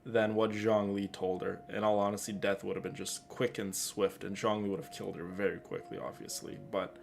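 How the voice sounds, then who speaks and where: Low-voiced man doing casual game commentary. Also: flat delivery, brisk, creaky, slight background noise. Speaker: gaming streamer.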